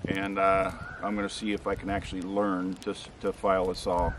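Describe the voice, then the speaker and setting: Older man rambling outdoors, deep voice. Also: monotone. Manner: rambling, halting with many fillers and restarts. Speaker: homestead channel host. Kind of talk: casual vlog talk to camera. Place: outdoors in a pine forest clearing. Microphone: camera mic from a few meters away. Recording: noisy outdoor camera audio.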